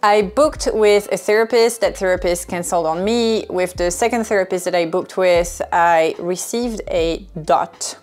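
A woman's voice: fairly low pitch, normal speed, hardly any pauses, darkish tone. A woman in her thirties talking casually and candidly to camera, explaining things as a vlogger on a lapel mic. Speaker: sailing vlogger. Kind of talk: casual vlog talk to camera. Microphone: clip-on wireless lapel mic. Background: background music.

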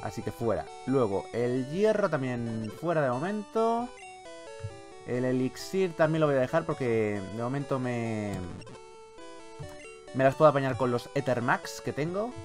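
A man from Spain with a Spanish accent giving casual, expressive, sweary let's-play commentary on a video game. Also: mid-range voice, measured pace, faint music behind. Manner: expressive, colloquial, swears freely. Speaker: gaming YouTuber, host.

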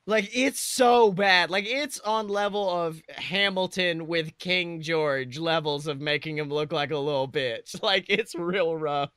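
A man's voice: a little high, unhurried, few pauses, a bit bright, smooth, no room sound, studio quality. A man co-hosting a podcast in casual comedic chat, joking with lots of slang and swearing. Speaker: podcast co-host.

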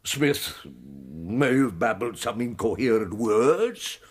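Elderly British scholar, radio drama, slow, expressive pitch, wheezy, halting, courtly, scornful streak. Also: male voice, breathy edge. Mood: sly, musing. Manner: halting, courtly, ornate phrasing. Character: elderly invalid scholar, courtly, self-pitying, secretly scornful.

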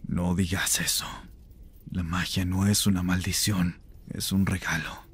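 A man voicing a chained rebel mage in an ASMR roleplay, intense, seductive and tender, with a breathy, gravelly voice. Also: low voice, level tone, measured pace, full character voice. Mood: hushed, persuasive.

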